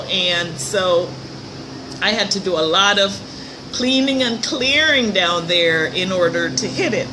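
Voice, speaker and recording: A middle-aged woman, a little hoarse, hosting her show in a chatty, upbeat live-stream talk from a hotel room. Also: medium pitch, background noise. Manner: chatty, upbeat, with light laughs. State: slightly hoarse. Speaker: host of a motivational show. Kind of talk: casual live-stream monologue. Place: hotel room near a pool.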